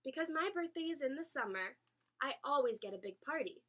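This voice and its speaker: Lady doing a teen big sister from a kids book, cheery and kind, high bright voice. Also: expressive, brisk. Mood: cheerful. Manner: kindly explaining. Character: cheerful older sister in her late teens. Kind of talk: children's storybook read-aloud.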